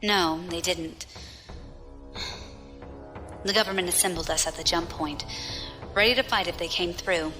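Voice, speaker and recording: A woman's voice: fairly low pitch, measured pace, long pauses, over music, lightly acted. An actress playing a battle-hardened, proud young female pirate captain with a wry storytelling manner in a science fiction audio drama. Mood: somber, grieving.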